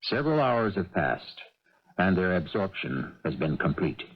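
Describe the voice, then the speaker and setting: A man hosting and narrating a radio mystery in a formal, theatrical scripted style with measured phrasing. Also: low-pitched, very animated, husky. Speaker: host and narrator. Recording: dull old radio broadcast.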